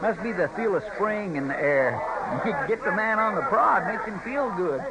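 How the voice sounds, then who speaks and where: An actor playing a chatty, deferential marshal's sidekick with folksy, eager talk in a 1950s radio Western. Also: male voice, high-pitched, animated, moderate pace. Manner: folksy drawling grammar, eager, respectful. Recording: very noisy old radio drama recording.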